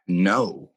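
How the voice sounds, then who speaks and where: A man's voice: fairly bright tone, no room sound, rough recording. A man playing a curt, unimpressed, impatient tattoo artist in a radio comedy. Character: blunt, impatient male tattoo artist named Ed.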